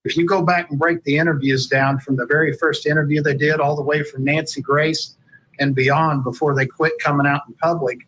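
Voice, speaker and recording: A man's voice: on the high side, little pitch movement, a little fast, fairly bright tone, a little hiss or hum, low-quality recording. A man giving opinionated commentary in a played clip.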